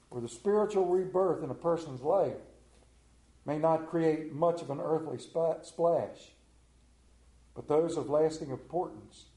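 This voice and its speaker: A middle-aged television pastor with an Appalachian accent preaches in a folksy, storytelling way and asks many rhetorical questions. His voice sits somewhat high for a man but sounds warm and mellow. The sermon carries a roomy echo.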